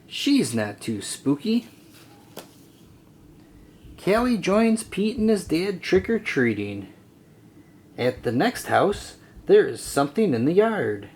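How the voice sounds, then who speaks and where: A storybook narrator reading aloud for children in an expressive, deliberate way. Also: medium pitch, a little hiss or hum.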